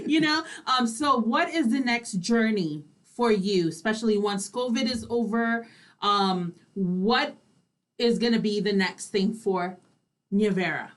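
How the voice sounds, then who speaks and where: A woman's voice: mid-range voice, a little slow. A woman co-hosting an online radio interview, talking in an effusive, informal way. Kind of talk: casual interview chat.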